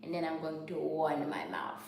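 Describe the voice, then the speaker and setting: A woman's voice: sits low, expressive, moderate pace, a little mellow. A woman with a Haitian Creole accent, rambling candidly about her studies in a study vlog and often restarting her sentences. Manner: talks candidly, restarts and repeats herself. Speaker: student vlogger. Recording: very echoey room with noise.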